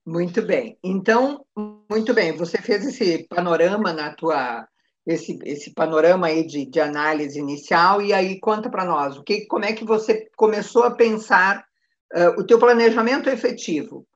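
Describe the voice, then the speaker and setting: A woman's voice: on the low side, moderate pace. A woman with a Brazilian accent hosting an informal live online interview in a conversational way. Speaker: host, consultancy manager interviewing a client. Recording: dull, lo-fi online call.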